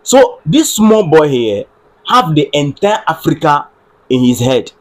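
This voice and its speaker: A man's voice: fairly high pitch, very animated, measured pace. A man with a Ghanaian accent giving earnest political commentary on a live stream, repeating phrases and checking with viewers that his audio works. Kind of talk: solo livestream political commentary.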